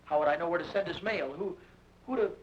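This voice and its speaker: A man's voice: on the high side, fast talker, far from the mic, close to an everyday voice. An actor playing a brash, cocky American man searching for his brother in an old radio drama, wisecracking and pushy. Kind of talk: 1940s radio acting. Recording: dull lo-fi old radio recording with faint hiss.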